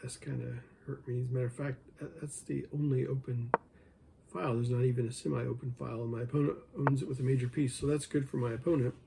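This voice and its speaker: A man who is losing his voice, hoarse, thinking aloud over an online chess game and joking with himself as he plays. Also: mid-range voice, fairly even intonation, fast, noisy background. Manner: mutters his thoughts, talks to himself, jokes self-deprecatingly. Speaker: chess video creator.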